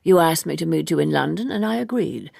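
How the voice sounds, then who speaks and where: A woman voicing a polite, self-possessed, evasive gentleman in an audiobook, with a measured, formal and courteous manner. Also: low voice, expressive, vocal fry, dry recording, clean studio sound, full character voice. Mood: cool, restrained. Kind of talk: audiobook character dialogue.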